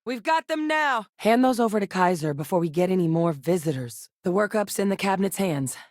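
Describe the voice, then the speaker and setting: Low-voiced, earnest woman scientist played by an actress. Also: animated, fairly quick, a little mellow, no room sound, studio quality, natural voice. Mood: businesslike. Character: grave, dedicated woman scientist in a space-faring military. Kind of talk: video game character dialogue.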